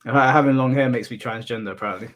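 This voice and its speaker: A man from the UK with a monotone voice, casually chatting and answering his livestream chat, laughing off insults. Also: medium pitch, fast, a little hiss or hum. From United Kingdom. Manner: relaxed, laughs off insults, addresses chat as bro. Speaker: streamer. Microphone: headphones in, desk mic.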